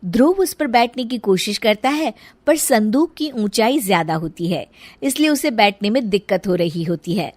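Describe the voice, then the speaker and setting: A woman's voice: mid-range voice, animated, normal speed, no room sound. A woman reading scripted narration for a story, as the narrator.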